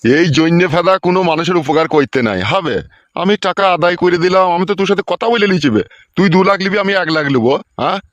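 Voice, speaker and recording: A man voicing a fast-talking, bossy village uncle. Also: high-pitched, no room sound, dull audio, close to an everyday voice. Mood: irritated scolding. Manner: scolding, bossy, peppered with check-in questions. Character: scheming, foul-mouthed middle-aged village uncle, bossy and greedy. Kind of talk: Bengali comedy cartoon dubbing.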